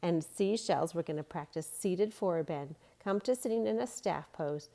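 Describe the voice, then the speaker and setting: A woman reading a storybook aloud as a children's yoga teacher, giving simple, gentle guiding instructions. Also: lowish voice, moderate pace, vocal fry.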